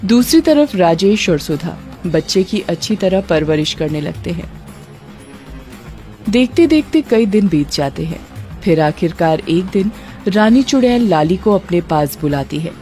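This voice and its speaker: A woman's voice: lowish voice, animated, measured pace, mellow, soft background music. A woman narrating a scripted story as a storyteller.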